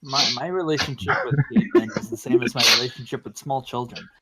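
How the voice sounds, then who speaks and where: A man joking casually with friends on a live stream in a measured, slightly hesitant way. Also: mid-range voice, expressive, a loud crowd, rough recording. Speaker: participant in a group live stream. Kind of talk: casual chat.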